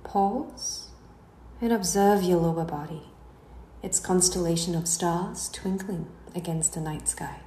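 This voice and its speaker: A woman leads a guided relaxation in a dark, mellow voice. She speaks unhurriedly and soothingly, letting phrases trail off at the end. The recording has a noticeably roomy echo with a faint layer of noise behind her. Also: fairly low pitch, measured pace. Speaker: guided meditation instructor. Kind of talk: slow guided relaxation narration.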